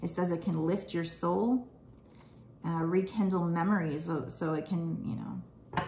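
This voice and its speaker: A woman's voice: on the low side, unhurried, a little mellow, echoey room, a little hiss or hum. A woman giving a casual tarot reading, rambling and reassuring, her voice slightly froggy. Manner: rambling, reassuring, many fillers. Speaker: tarot reader.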